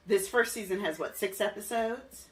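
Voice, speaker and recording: A woman's voice: medium pitch, moderate pace, distant mic, slight background noise, low-quality recording. A woman hosting a podcast in a casual, enthusiastic, fannish and giggly chat. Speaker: podcast host.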